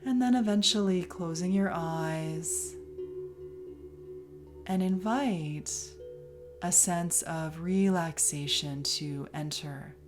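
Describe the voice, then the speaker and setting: A woman leading a guided relaxation as a yoga teacher, calm and soothing, leaving long pauses between phrases. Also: on the low side. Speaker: yoga nidra instructor. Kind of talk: guided meditation, relaxation instruction. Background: music behind the voice.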